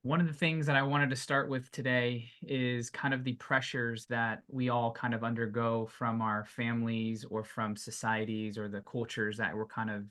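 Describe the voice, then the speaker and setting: A man hosting a podcast interview, asking measured questions with frequent hedging fillers. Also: mid-range voice, level tone, bright, forceful tone. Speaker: podcast host.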